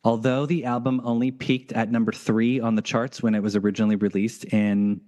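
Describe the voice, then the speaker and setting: Low-voiced man hosting a podcast. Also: flat delivery, a little fast, mellow. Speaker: podcast host. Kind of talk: casual chat.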